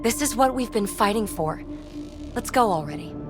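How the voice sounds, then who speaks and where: An actress playing an eager, curious space crewmate in a video game. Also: female voice, medium pitch, animated, moderate pace, over loud music, natural voice. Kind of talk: video game dramatic acting.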